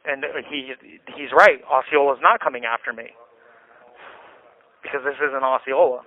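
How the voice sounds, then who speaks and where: A man's voice: mid-range voice, moderate pace. A man with an American accent talking casually on a phone call from jail, venting and explaining himself insistently. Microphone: telephone handset. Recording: tinny, band-limited jail phone line.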